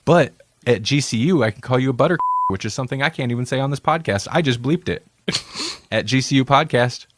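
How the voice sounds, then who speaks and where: A man's voice: mid-range voice, a little fast, noisy background. A male podcast host in casual banter, playful and rambling, laughing often.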